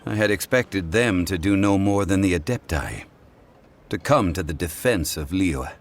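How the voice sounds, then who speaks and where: An actor playing a calm, ancient god in mortal form in a video game, formal, measured and eloquent. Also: male voice, low-pitched. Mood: composed, satisfied.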